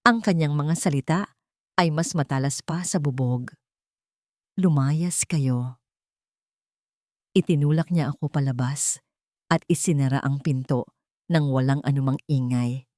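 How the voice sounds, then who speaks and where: A woman's voice: low-pitched, normal speed, a little mellow, no echo, clean studio sound. A woman reading a scripted, first-person dramatic story as its narrator.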